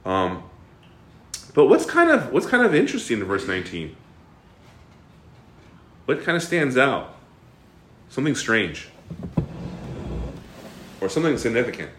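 Animated man teaching a Bible class. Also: medium pitch, measured pace, long pauses, roomy sound, a little hiss or hum. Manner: animated, asks the class questions, frequent fillers and restarts. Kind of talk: interactive bible study teaching.

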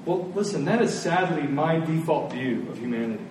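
A man's voice: a little high, animated, moderate pace, darkish tone. A middle-aged man preaching a sermon in a conversational way, putting rhetorical questions to his listeners. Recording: very echoey room, distant mic, faint noise.

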